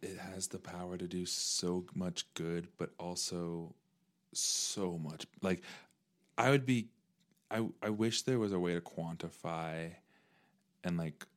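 A young man hosting a casual podcast conversation, earnest and relaxed, saying "dude" and "like" a lot. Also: low-pitched, slow. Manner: earnest, uses "dude" and "like" often. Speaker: podcast host.

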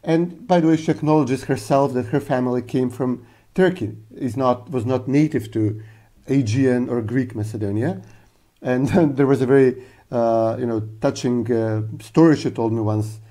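A man with a dark, mellow voice speaks in casual podcast talk. He halts often and fills his pauses with uh and um. He tells his stories in an earnest, searching way. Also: medium pitch. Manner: halting, full of uh fillers; earnest storyteller. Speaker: podcast co-host.

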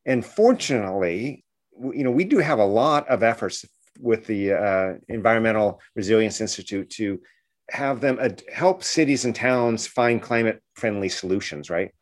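A man's voice: on the low side, animated, moderate pace. A man speaking as an expert guest in a radio interview, explaining things earnestly and often checking in with a short questioning tag.

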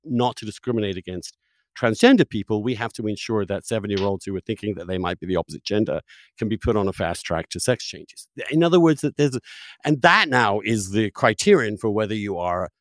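A man hosting an opinionated conversational discussion, phrasing his points emphatically and deliberately, with pauses for effect. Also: lowish voice, very animated, no room sound.

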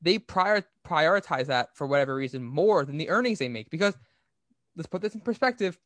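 A man's voice: on the high side, animated, fairly quick, fairly bright tone, dry recording. A man hosting a podcast, giving opinionated, explanatory business commentary in a conversational way. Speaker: podcast host.

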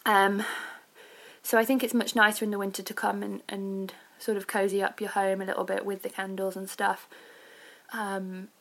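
A woman talks informally on her own as a podcast host, thinking aloud in a reflective way. Her pitch sits in a middle range and moves little. There is a noticeable creak in her voice. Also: little pitch movement, moderate pace. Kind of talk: informal solo chat.

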